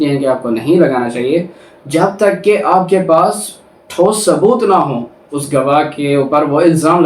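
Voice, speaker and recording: A Pakistani man giving a didactic law lecture, reading formal text and explaining it by rephrasing and repeating. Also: fairly high pitch. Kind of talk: lecture, reading statutes in English and explaining them in Urdu. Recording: roomy echo, distant from the mic.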